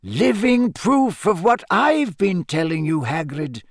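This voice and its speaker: A man performs an elderly wizard headmaster from a storybook. The voice sits high and swoops gently through measured, formal phrases. He is calm and authoritative, with a dry, whimsical wit. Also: creaky, no echo, full character voice. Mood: stern, kindly. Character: wise old male wizard headmaster, wry, calm and authoritative.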